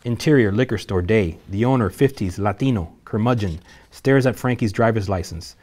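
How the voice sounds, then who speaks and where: Narrator for a table read: a low-voiced man, steady and matter-of-fact. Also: moderate pace. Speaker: narrator reading stage directions.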